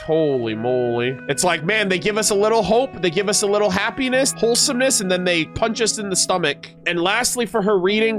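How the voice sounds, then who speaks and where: A man's voice: high-pitched, a bit bright. A man streaming a video game, reading lore aloud and reacting informally to his chat. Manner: informal, mild swearing, addresses chat.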